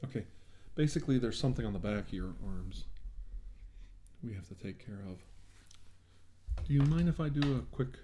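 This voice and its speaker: A man's voice: mid-range voice, expressive, fairly quick, pauses often, mellow. A man doing an ASMR roleplay as a medical examiner, talking in a reassuring, attentive bedside manner.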